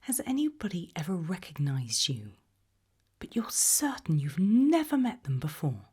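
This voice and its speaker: A woman acting as an eerie British female researcher in a creepy ASMR roleplay. Her low-pitched, very breathy whisper is slow and deliberate, probing with quiet menace. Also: very animated, heavily acted.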